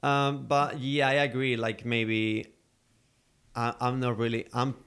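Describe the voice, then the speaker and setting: A young man from Spain with a Spanish accent, co-hosting a podcast in a low-key, matter-of-fact way. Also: level tone, slow pace, fairly bright tone, clean tone. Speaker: podcast co-host. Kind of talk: casual chat. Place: London.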